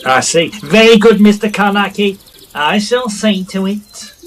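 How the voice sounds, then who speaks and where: A man acting a practical, obliging village smith in an audio drama, businesslike and measured. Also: sits high, slight background noise.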